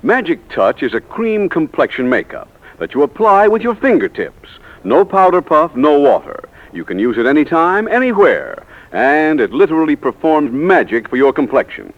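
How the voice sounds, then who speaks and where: An American man delivering a polished, emphatic scripted sales pitch as a 1940s radio announcer. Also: very animated, moderate pace. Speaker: commercial announcer. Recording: tinny, narrow 1940s radio recording.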